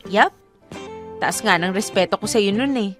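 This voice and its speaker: A woman's voice: mid-range voice, normal speed, soft background music, natural voice. A Filipino actress playing an apologetic, admiring young woman in conversational radio drama.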